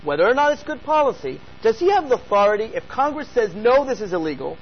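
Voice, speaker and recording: A man with a high voice hosts a call-in radio show and fires off questions at a brisk clip. He pushes hard against the other side. The broadcast sound is dull and lo-fi, with a faint hiss underneath. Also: big pitch swings. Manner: pressing, rapid questioning. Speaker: radio talk show host.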